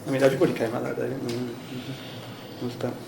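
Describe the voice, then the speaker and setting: A man's voice: medium pitch, level tone, fast talker, a crowd in the background. A man asking casual, loosely phrased interview questions in a hesitant way. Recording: echoey room, clear background noise.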